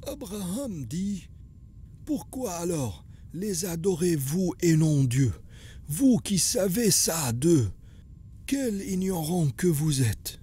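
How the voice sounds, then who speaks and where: A woman playing a solemn narrator who relays a prophet's replies in a religious cartoon, measured and declarative. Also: on the low side, sing-song, dark tone, slight background noise.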